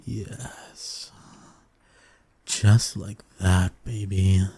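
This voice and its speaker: A man voicing a seductive, smug incubus demon in an intimate ASMR roleplay, teasing and coaxing his listener with pet names in a husky voice. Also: fairly even intonation, very slow, mellow, exaggerated voice. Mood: soothing, hypnotic. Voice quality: low, breathy, husky. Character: seductive male incubus demon, smug, dominant, soothing lover.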